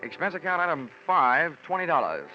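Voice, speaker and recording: A dull vintage radio recording with music behind it carries a wisecracking American male investigator. He narrates his case in wry, deadpan asides with lively swings of pitch, in 1940s radio drama style. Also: a little fast. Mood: dry, wry. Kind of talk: 1940s radio acting, first-person narration.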